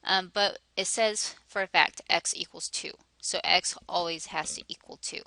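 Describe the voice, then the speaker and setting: A woman teaching a math lesson, working through each step aloud, her voice a little hoarse. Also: fairly low pitch, steady tone, normal speed, sharp tone, no room sound. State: slightly hoarse, losing her voice.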